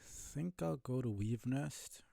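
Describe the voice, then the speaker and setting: A man giving casual live commentary on a video game, musing aloud in a low-key way. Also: slow, creaky, dark tone, no room sound.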